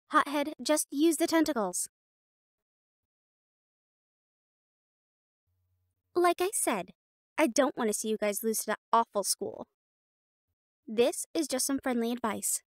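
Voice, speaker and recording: A woman's voice: high voice, no echo, studio quality. A woman voicing a snobby, catty teenage catgirl cheer captain in an audio roleplay, talking in a haughty, teasing and bossy way. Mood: smug.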